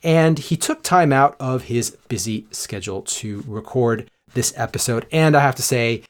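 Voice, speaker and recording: An upbeat man hosts a podcast in a mid-pitched voice. He stresses key words by stretching them out and keeps an eager, promotional tone. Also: normal speed. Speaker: podcast host. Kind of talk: scripted podcast intro and interview.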